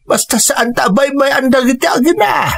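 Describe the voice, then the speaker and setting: A concerned older father from a radio drama, talking in a fairly high voice and clucking disapprovingly over unfaithful men. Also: normal speed, vocal fry, a bit bright, heavily acted.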